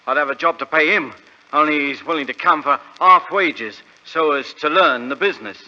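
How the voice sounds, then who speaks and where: An actor playing a proud, naive middle-aged London pawnbroker, British, plain-spoken and hesitant, in 1940s radio drama style. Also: male voice, a little high, moderate pace, pushed and bright. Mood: earnest, rambling. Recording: dull vintage radio recording.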